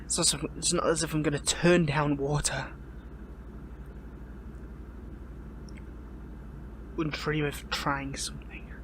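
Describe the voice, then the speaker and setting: Man voicing captive young hero, audio drama, higher-pitched male voice, wry, defiant, halting, weary and hurt, noisy background. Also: flat delivery, a bit bright, close to an everyday voice. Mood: strained, wry. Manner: halting, sardonic, stammering. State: pained, weary. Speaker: voice actor in audio roleplay. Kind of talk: dramatic audio roleplay, one-sided dialogue.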